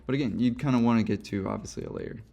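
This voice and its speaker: A young man with a hoarse, gravelly voice talks through a software tutorial in a loose, rambling way, full of fillers and quick self-corrections. His voice sits a little low, and the soft clatter of a keyboard and mouse clicks carries underneath. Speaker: instructor. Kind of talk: screen-recorded software tutorial.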